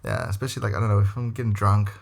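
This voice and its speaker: Give me a low, raspy-voiced man with a South African accent blending into American, chatting easily. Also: steady tone, rapid-fire. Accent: South African mixed with American. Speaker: podcast guest. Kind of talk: casual conversation.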